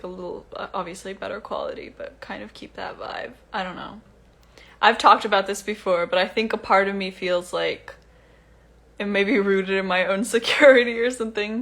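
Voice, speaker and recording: A young woman from Iceland chatting casually on a livestream, soft-spoken and rambling, talking into a phone. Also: mid-range voice, creaky. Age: young adult. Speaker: singer-songwriter on a livestream. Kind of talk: casual chat to viewers.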